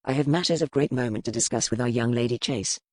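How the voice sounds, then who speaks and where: A man's voice: medium pitch, fast talker, dry recording, studio quality, lightly acted. A man playing an arrogant aristocratic rake in a historical romance audiobook, with a pompous, formal, insinuating manner of mocking menace.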